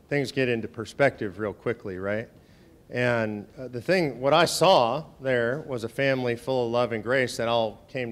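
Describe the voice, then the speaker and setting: A man with a loud voice, president of an organization, speaking to volunteers and lawmakers in a meeting room. He talks conversationally and emphatically, often tagging points with "right?". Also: medium pitch. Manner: conversational, emphatic, rhetorical "right?" tag questions. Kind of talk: speech with audience questions. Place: meeting room with a live audience.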